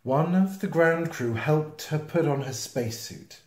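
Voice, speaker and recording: A man reading a children's picture book aloud in an expressive, playful manner. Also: on the high side. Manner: expressive, slow, with playful sound effects and asides. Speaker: storytime reader. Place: small indoor room, seen close to the camera. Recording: roomy, echoey home video sound.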